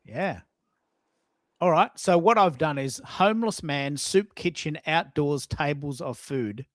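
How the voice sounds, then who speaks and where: A man hosting a live online audio show, chatting casually and thinking aloud in a halting way, often repeating himself as he addresses listeners. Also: on the high side, expressive, no room sound, clean studio sound.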